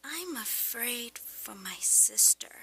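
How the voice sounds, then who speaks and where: A woman acts a troubled teenage schoolgirl in a radio drama. Her voice is high and bright, swinging widely in pitch. She speaks slowly and haltingly, defensive and sullen about what she is holding back. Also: animated, vocal fry, husky, full character voice, rough recording. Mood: afraid, halting. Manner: sullen, halting, emotional delivery.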